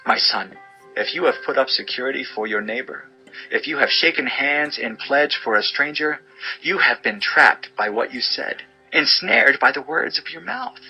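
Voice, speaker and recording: A man's voice: medium pitch, expressive, moderate pace, vocal fry, pushed and bright, husky, soft background music, low-quality recording. A man giving a solemn, fatherly dramatized reading of scripture as narrator, instructing as he goes.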